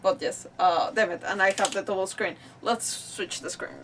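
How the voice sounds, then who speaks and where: A woman's voice: mid-range voice, normal speed, fairly bright tone, distant mic, a little hiss or hum. A woman hosting a casual live stream, chatting in a rambling, apologetic, self-deprecating way. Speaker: livestream host.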